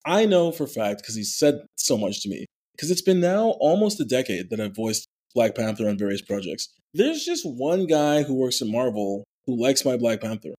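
A man, an actor, talking in a casual interview, animated and full of restarts, with a somewhat nasal voice. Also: mid-range voice, normal speed. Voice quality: nasal natural voice. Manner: animated storyteller, many fillers and restarts. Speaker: actor and voice actor, podcast guest.

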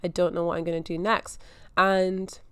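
A chatty young woman from Scotland hosts her own podcast, her accent mostly neutral with a faint Scottish edge. Her low-set voice is smooth and clear, and it rambles loosely as she thinks out loud. The sound is dry and close, with no room around it. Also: little pitch movement, moderate pace, no room sound. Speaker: podcast host. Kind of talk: casual solo podcast chat.

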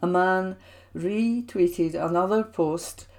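A woman reads a newspaper column aloud at an unhurried, deliberate pace. Her voice sits on the low side, and she takes each sentence carefully. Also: a little mellow. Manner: slow, measured reading. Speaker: newspaper reader. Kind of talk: reading opinion articles aloud.

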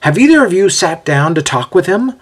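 A man's voice: high-pitched, sing-song, brisk, slightly rough, pitched above the performer's own voice, heavily acted. A man voicing a snide, smirking tease in an audio storybook, with a mocking manner. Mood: dismissive. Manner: mocking, teasing.

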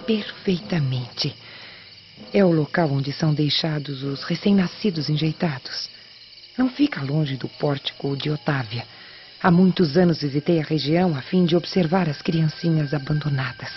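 A woman's voice: deep voice, normal speed, lightly acted. An actress playing an obedient, eager Roman servant woman in a radio soap opera, speaking deferentially and theatrically. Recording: dull radio drama, faint background noise.

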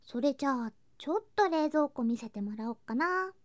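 A woman playing an affectionate, playful younger girl cousin in an intimate ASMR voice drama, speaking softly and coaxingly and slipping into whispers. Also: high voice, animated, moderate pace, dry recording, clean studio sound. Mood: sweet, coaxing. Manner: soft, sweet, coaxing, drifting into whispers and singsong. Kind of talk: ASMR situation voice drama, intimate roleplay to listener.

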